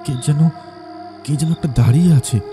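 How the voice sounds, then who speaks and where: A man playing a terrified village boy in an audio horror drama, stammering and repeating his words haltingly. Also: a little high, mellow, soft background music, lightly acted. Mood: frightened.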